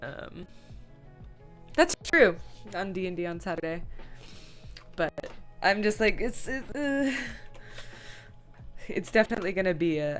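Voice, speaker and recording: A woman streamer chatting casually as she works, rambling and thinking aloud. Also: sits low. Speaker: live streamer; comic dub director. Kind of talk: casual livestream chat while working.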